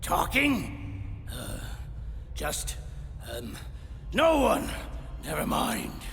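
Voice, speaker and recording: A deeply gravelly older male villain, swinging wildly in pitch. He is a volatile, tormented gunslinger from a game drama, heard through long reverb. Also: huge pitch swings, very slow, fairly bright tone, exaggerated voice. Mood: flustered. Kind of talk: dramatic video game voice acting. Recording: long reverb with music behind.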